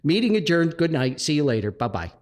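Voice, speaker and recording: A man's voice: a little high, big pitch swings, fairly quick, darkish tone. A man voicing a weary, exasperated pastor running a church meeting in a comic story. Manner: exasperated, emphatic.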